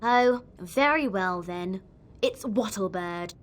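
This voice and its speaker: A woman's voice: medium pitch, takes it slowly, background noise, natural voice. A woman playing a bossy, prim young English schoolgirl in a video game. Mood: exasperated.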